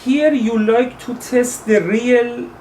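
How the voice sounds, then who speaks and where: A man in his thirties from Iran with an Iranian accent, a guest in a casual interview, speaking English haltingly and searching for words with many fillers and restarts. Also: extremely high pitch, fairly even intonation, a little mellow. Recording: distant mic with faint background noise.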